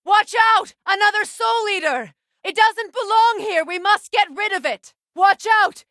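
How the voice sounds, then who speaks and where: An actress playing a grave, sorrowful exiled woman of magic in a video game, speaking in measured, formal phrases. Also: extremely high pitch, sharp tone, no room sound, studio quality, heavily acted. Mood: urgent, alarmed. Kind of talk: dramatic game character dialogue.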